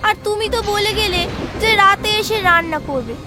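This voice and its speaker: A little elder sister in a children's cartoon, caring and a bit bossy. The voice is extremely high and childlike, set over clear background music. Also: female voice, expressive, a little fast. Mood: puzzled. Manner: childlike, scolding and soothing. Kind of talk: expressive children's cartoon acting.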